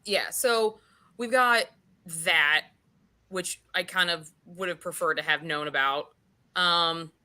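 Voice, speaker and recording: A woman's voice: medium pitch, pushed and bright. A woman hosting a casual book discussion, talking in a frank, informal way with some swearing. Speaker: book club host.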